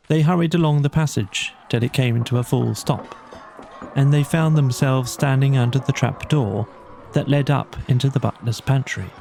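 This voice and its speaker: A man narrating a bedtime storybook in a measured, dramatic storytelling manner. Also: medium pitch, vocal fry, mellow, a little hiss or hum. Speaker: audiobook narrator.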